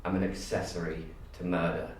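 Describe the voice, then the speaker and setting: Low-voiced, guilt-ridden man played by a male auditionee, grimly resigned monologue, very echoey and distant. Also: level tone, fairly quick, lightly acted. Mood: grim confession. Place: university room audition. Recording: echoey room, distant mic, faint noise.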